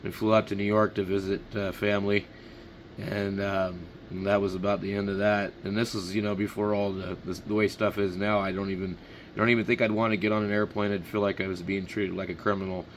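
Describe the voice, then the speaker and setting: A middle-aged man from Washington State talks in a low voice. He chats casually as a ham radio operator, with faint noise behind him. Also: little pitch movement, fairly quick. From Washington State, United States.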